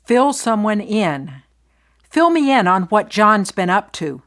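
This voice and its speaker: A woman reciting phrases for a language lesson, deliberate and clearly enunciated. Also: medium pitch, sing-song, fairly bright tone. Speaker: phrase reader.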